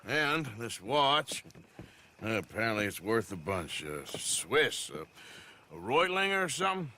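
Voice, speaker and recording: A man voicing a gruff, dry-witted middle-aged outlaw gunman with a laconic drawl. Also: mid-range voice, big pitch swings, measured pace, pushed and bright, a little hiss or hum.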